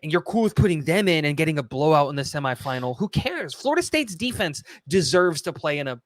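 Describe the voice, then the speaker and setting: A man's voice: on the high side, very animated, a little fast, no echo. A man co-hosting a sports podcast, giving firm, opinionated takes in casual talk. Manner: opinionated, argues points firmly. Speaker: podcast co-host.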